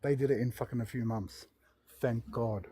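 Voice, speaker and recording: A man's voice: mid-range voice, steady tone, moderate pace, mellow, slightly rough, dry recording. A man from Lebanon, talking as a caller in a heated casual debate, emphatic and prone to repeating himself. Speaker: caller in a live debate stream.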